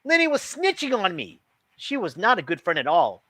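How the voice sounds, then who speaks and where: Man playing a high, feisty young storybook girl. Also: wildly swooping pitch, moderate pace, bright, forceful tone, no echo, exaggerated voice. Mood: indignant. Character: young girl Jasmine, feisty, stubborn storybook narrator child.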